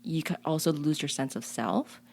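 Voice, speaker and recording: Woman, low voice, gravelly fry, earnest reflective guest, restarts sentences. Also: normal speed, a little mellow, a little hiss or hum. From born in a refugee camp on the Cambodia-Thailand border, raised in Chicago. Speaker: guest, community leader. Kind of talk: interview conversation.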